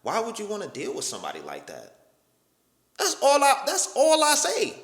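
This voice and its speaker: A man hosting a reaction video in casual, slangy talk, incredulous and animated, protesting loudly. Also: moderate pace, roomy sound, in a large hall. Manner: animated, incredulous, slangy, pitch jumps high when protesting.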